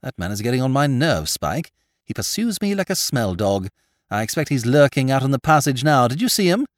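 A man voicing a witty, sardonic gentleman rogue who lectures his sidekick with theatrical irony. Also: medium pitch, sing-song, brisk, no echo, studio quality, pitched above the performer's own voice, close to an everyday voice. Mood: urgent. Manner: ironic, theatrical, exasperated tirades.

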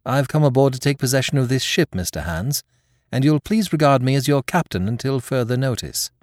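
Young storybook adventurer, played by a man: cheeky, bold, politely commanding, ironic, very varied pitch, dry recording. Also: expressive, a little fast, dry recording, natural voice. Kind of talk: storybook character voice in audiobook.

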